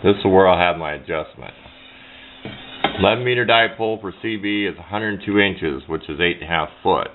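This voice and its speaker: A low-voiced middle-aged man talks through a hands-on demonstration casually. He rambles in a plain-spoken way and corrects himself along the way. The recording is dull and lo-fi, with noticeable noise behind him. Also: a little fast. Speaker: hobbyist demonstrating a homemade radio antenna build. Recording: low-fi muffled sound with clear background noise.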